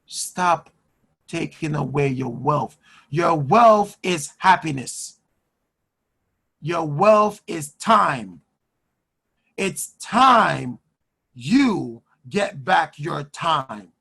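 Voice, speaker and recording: Gravelly man hosting a video call, slow emphatic motivational delivery. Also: on the high side, expressive, bright, forceful tone. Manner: emphatic, repeats phrases for effect. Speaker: host and finance mentor. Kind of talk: motivational speaking on a live call.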